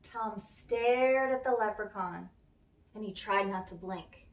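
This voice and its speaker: A woman reading a children's story aloud as an expressive storyteller. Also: medium pitch, slow pace. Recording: roomy, echoey, distant microphone.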